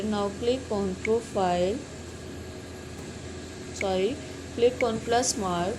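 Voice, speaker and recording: A woman's voice: medium pitch, background noise. A woman hosting a step-by-step app tutorial, speaking in an instructional manner with simple non-native English phrasing. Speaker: tutorial host.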